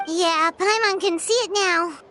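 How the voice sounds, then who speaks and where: Tiny floating companion, squeaky high, bright and chirpy. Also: female voice, unhurried, faint music behind, full character voice. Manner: chirpy, refers to herself in the third person. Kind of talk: video game voice acting.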